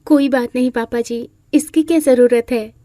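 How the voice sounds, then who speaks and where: A woman's voice: a little high, fairly even intonation, moderate pace, dark tone, no room sound, clean studio sound, lightly acted. Woman acting a meek, polite young bride. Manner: meek, respectful, apologetic. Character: humble, polite, dutiful young new bride. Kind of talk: Hindi moral-story character acting.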